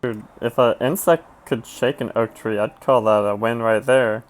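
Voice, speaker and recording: Casual male game streamer, medium pitch. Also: steady tone, moderate pace. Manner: slangy, offhand. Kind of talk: casual live gameplay commentary. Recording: game music behind the voice.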